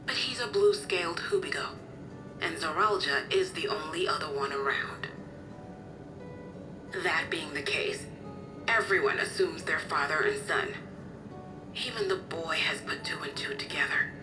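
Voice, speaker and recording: A woman's voice: low-pitched, animated, measured pace, long pauses, music in the background, poor audio. A kindly motherly guardian, expressively lilting. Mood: sad, caring. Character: kindly motherly woman, caring guardian of an orphaned boy. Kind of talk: video game cutscene acting.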